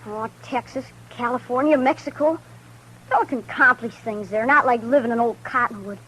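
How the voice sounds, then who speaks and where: A woman's voice: a little high, moderate pace, fairly bright tone, a little hiss or hum, lightly acted, poor audio. A woman playing a boastful, gun-crazy runaway boy in a 1950s radio western, speaking in rustic country grammar. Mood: pleading.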